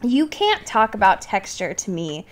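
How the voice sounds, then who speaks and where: A woman's voice: mid-range voice, huge pitch swings, measured pace. A young woman co-hosting a podcast, talking casually and playfully about films in an informal, loosely hedged way. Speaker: podcast co-host. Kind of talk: casual film discussion chat.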